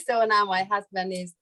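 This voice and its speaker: A woman from Hungary in her thirties, a native Hungarian speaker and translator, sharing a personal story on a video call, halting and laughing often. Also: mid-range voice, pushed and bright.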